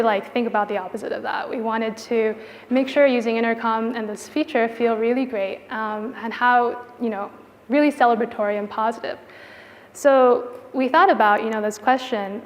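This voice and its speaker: Female conference speaker, higher-pitched voice, informal conversational delivery, recorded in a big echoing hall. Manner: casual, informal phrasing with frequent "like" and "you know". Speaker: product designer giving a conference talk. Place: indoor conference venue. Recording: reverberant hall with long echo.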